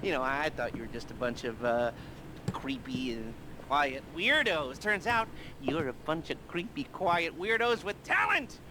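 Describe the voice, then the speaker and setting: An actor playing a nerdy, excitable heist mastermind in a video game, sardonic and halting, with a gravelly edge and a rambling way of talking. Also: male voice, on the high side, background noise. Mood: ecstatic, giddy. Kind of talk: video game cutscene and mission dialogue acting.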